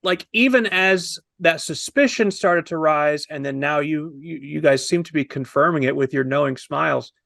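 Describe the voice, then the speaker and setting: A man in his fifties with a fairly high voice that crackles with fry. He talks casually and admiringly as a panelist reviewing a film, and the sound is close and dry. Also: normal speed, few pauses, fairly bright tone, no echo. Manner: enthusiastic, admiring. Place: indoors, on a video call.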